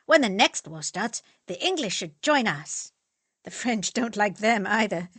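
A woman's voice: medium pitch, lively intonation, normal speed, dry recording, natural voice. Woman doing brash, teasing young Fritz. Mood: boisterous. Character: lanky, brash young male student, teasing.

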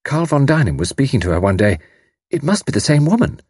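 A man voicing a brisk, sensible woman in an audiobook, firm, practical and reassuring. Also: medium pitch, very animated, a little mellow, breathy edge, no room sound, clean studio sound, voice pushed up. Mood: brisk, explaining. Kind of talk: audiobook character voice.

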